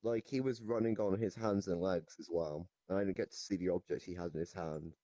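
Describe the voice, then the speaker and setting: Man playing a mellow, rambling, deranged goblin storyteller. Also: low voice, fairly even intonation, dry recording. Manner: rambling, halting, nonchalant about absurd claims. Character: RoboGoblin, rambling deranged goblin storyteller boasting of absurd evil deeds. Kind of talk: improvised comic storytelling in an interview. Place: virtual reality voice chat.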